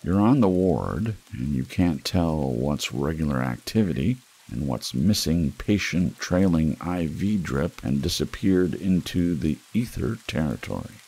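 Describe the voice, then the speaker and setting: Male audiobook narrator, extremely deep pitch, heavy vocal fry, ASMR noir storytelling, brooding and wry. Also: lively intonation, a little slow, hardly any pauses, dark tone, poor audio.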